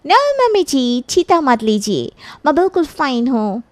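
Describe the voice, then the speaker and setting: A woman plays a cheerful young daughter-in-law in a comic cartoon. The voice is high and slightly hesitant, sprinkled with English words, and the sound is very dry. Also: sing-song, unhurried, no room sound. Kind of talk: Hindi comic cartoon acting.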